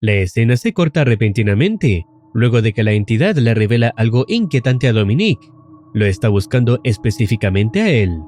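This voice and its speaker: A man delivers scripted, suspenseful horror narration for an explainer video. His mid-range voice moves in lively contours, with faint music playing underneath. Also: medium pitch, brisk.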